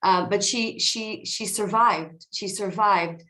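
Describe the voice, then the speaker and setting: A woman in her forties with an Israeli accent, talking about her life on a video call from her home basement, a little hoarse from a cold, reflective, with many fillers and restarts. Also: mid-range voice, fairly even intonation, slow pace, bright, forceful tone, rough recording. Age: 40s. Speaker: guest speaker. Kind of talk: personal talk over a video call.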